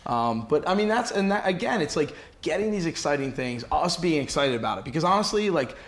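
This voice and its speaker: A man's voice: on the high side, very animated, brisk. A man speaking as an enthusiastic, salesy guest producer in a casual promotional interview. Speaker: guest, animation and comics producer promoting a crowdfunding campaign.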